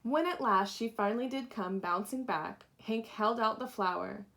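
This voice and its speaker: A woman reading a children's picture book aloud with dramatic pauses, acting out the story. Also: a little high, gravelly, a bit bright, slight background noise. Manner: deliberate dramatic pauses, acts out characters. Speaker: storybook reader, teacher.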